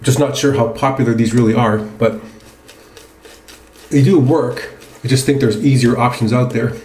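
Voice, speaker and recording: A man's voice: mid-range voice, fairly even intonation, normal speed, darkish tone, slight background noise. Man chatting casually in an echoey room. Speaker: presenter of a wet shaving video. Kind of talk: casual product review chat while shaving. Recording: roomy, echoing indoor sound.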